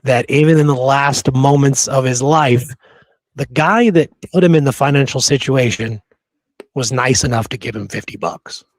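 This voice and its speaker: A man's voice: mid-range voice, normal speed, dry recording. A man hosting a podcast, talking casually about history in an emphatic, opinionated, rambling storytelling way. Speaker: podcast host.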